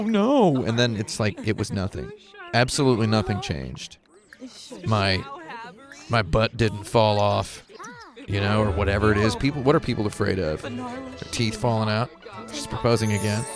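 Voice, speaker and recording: A man in his late thirties co-hosting a game stream, spinning absurd jokes in a deadpan way over casual chat. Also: lowish voice, moderate pace. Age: 30s. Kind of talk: casual joking chat while playing. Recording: music playing behind.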